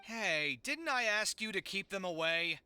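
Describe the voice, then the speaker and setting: Dramatic young man, piercingly bright voice. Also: high-pitched, expressive, a little slow, smooth, heavily acted. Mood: exasperated. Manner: theatrical, exasperated, sighing. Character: dramatic, put-upon, soft-hearted young male architect. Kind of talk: animated video game voice acting.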